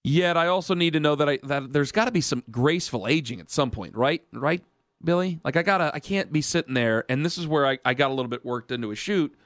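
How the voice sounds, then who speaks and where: A man's voice: fairly high pitch, lively intonation, quick pace, a bit bright, no echo, studio quality. A man in his thirties hosting a radio sports talk show, rambling in casual banter with self-mocking asides. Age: 30s. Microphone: studio mic.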